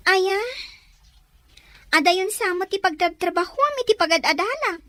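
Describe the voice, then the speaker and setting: Actress as curious student girl, super high voice, really swoopy drama delivery. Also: expressive, normal speed, pushed and bright, no echo, full character voice. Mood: chatty, questioning. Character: inquisitive young female student. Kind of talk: acted drama dialogue.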